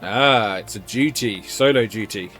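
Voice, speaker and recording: A man's voice: fairly low pitch, unhurried, fairly bright tone. Casual male game streamer, faint music. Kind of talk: casual playthrough commentary, reading game dialogue aloud.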